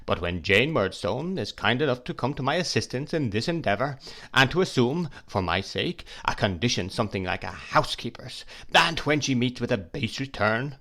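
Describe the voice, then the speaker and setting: A stern, domineering husband who speaks slowly and coldly, every word a command, as a man reads him. His voice stays firm and low-pitched against the tearful wife. A storybook tyrant. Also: lively intonation, fairly bright tone.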